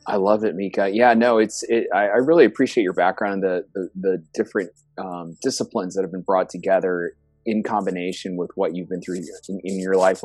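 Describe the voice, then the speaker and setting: Low-voiced, enthusiastic male podcast host. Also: darkish tone, breathy edge, no room sound. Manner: enthusiastic, repeats words. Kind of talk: interview podcast.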